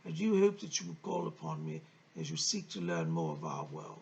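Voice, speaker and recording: Woman putting on a solemn, gravelly male spirit teacher. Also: deep voice, huge pitch swings, mellow, lo-fi sound, exaggerated voice. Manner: formal, measured, earnest. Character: Alfred, solemn wise male spirit teacher speaking through a medium.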